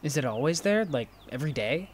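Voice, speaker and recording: A man playing an impulsive, curious friend in an audio drama, conversational and quick to get defensive. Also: a little high, a little hiss or hum. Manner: animated protests, inquisitive, defensive. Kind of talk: naturalistic audio drama dialogue.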